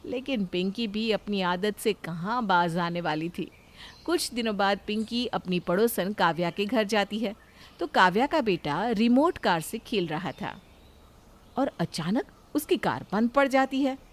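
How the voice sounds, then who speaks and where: A woman's voice: medium pitch, animated, unhurried. A woman reading scripted narration of a family moral story as storyteller.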